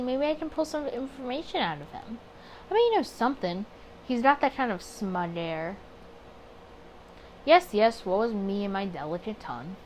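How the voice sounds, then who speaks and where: A woman's voice: on the high side, darkish tone, slight background noise, close to an everyday voice, rough recording. A woman playing a wary, grumbling male detective in a 1920s visual novel, with a sardonic, suspicious manner.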